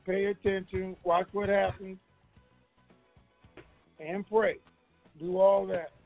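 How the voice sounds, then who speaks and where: A man's voice: high-pitched, flat delivery, takes it slowly, no room sound, soft background music. A man hosting a conference call, talking informally and in a rambling, conversational way to his listeners. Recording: dull, lo-fi conference call line.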